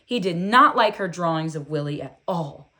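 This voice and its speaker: A woman reading a children's book aloud in an expressive, deliberate storytelling style with emphatic phrasing. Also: on the low side.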